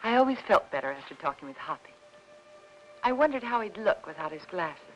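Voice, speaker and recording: An American woman in her thirties playing a devoted, anxious housewife in a 1950s film drama, speaking in a gentle, earnest way. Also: fairly low pitch, sing-song, fairly quick, muffled recording. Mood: troubled, reflective. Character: anxious, devoted young housewife, kindly and polite. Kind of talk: 1950s Hollywood film drama acting, with voice-over narration. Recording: 1950s film soundtrack with music underneath. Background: orchestral film score.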